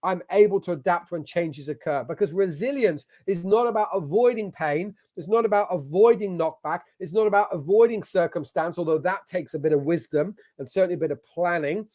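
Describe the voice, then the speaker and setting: A man's voice: sits high, brisk, few pauses, a little mellow. A man with an English accent giving an online masterclass as a business coach, talking in an emphatic, motivational way. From England. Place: at a computer sharing his screen.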